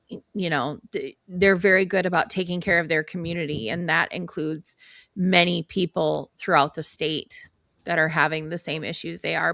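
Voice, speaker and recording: Earnest lower-voiced woman, dry sound. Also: sharp tone, no echo. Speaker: panel guest. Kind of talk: casual panel chat.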